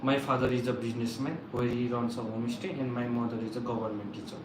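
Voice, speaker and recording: Young nepali-accented guy at a mock visa interview, halting, restarts a lot, echoey room, noisy. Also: medium pitch, level tone. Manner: halting, formal answers with restarts. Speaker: student visa applicant. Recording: echoey room with background noise.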